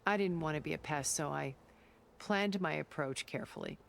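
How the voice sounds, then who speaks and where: An actress playing a composed, secretive older woman in a video game, speaking in a measured, formal, articulate way. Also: low-pitched, gravelly, slight background noise. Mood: wistful, admiring. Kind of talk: dramatic video game dialogue. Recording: background music under the voice.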